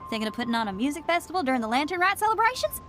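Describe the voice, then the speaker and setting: A woman playing an upbeat, bold young rock musician in a video game, with a breezy, confident, energetic manner. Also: sits high, racing, over music. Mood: teasing, curious.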